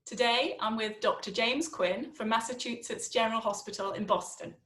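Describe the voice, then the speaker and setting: Woman host, higher voice, clear friendly questions, recorded in a reverberant room. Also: normal speed, fairly bright tone. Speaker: interview host. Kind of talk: scripted interview intro and questions.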